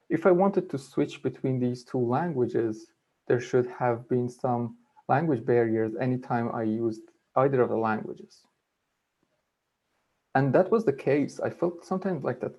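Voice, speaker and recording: A man's voice: medium pitch, warm tone. Earnest man with a Persian-Kurdish accent. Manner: earnest, halting with frequent restarts. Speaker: webinar speaker. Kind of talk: personal talk at a webinar. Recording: dull lo-fi webinar audio.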